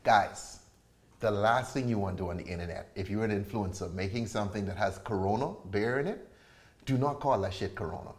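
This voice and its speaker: A man's voice: on the low side, fairly quick. A man with an American accent hosting a cocktail show, bantering in casual chat with jokes and cursing. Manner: joking, profane banter. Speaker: bartending show host.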